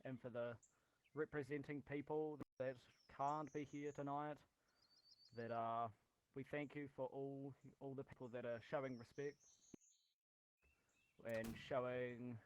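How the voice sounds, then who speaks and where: A man's voice: mid-range voice, fairly even intonation, a little slow, highs cut off, a little hiss or hum. A man from New Zealand giving an earnest, stumbling impromptu speech in voice chat. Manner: earnest, stumbling, repeats words. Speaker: memorial speaker.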